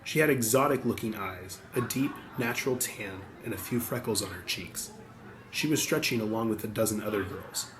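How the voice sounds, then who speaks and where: A man's voice: lowish voice, moderate pace, slight background noise, low-quality recording. A man reading scripted audiobook narration as the narrator.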